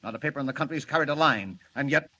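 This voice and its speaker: A harried newspaper chief from a 1940s radio serial. He barks bluntly in a hard, bright voice, with faint hiss on the old recording. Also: medium pitch, lively intonation, very fast, dull audio. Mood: brusque, impatient. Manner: blunt, brusque, barking. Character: gruff, impatient, harried newspaper editor. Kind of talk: 1940s radio drama acting.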